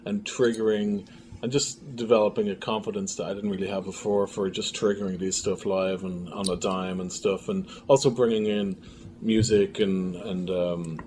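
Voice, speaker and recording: A man's voice: fairly low pitch, steady tone, moderate pace, a little mellow. A man talking casually in an interview, hesitantly searching for his words as he reflects. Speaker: filmmaker and sound designer being interviewed. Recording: clear background noise.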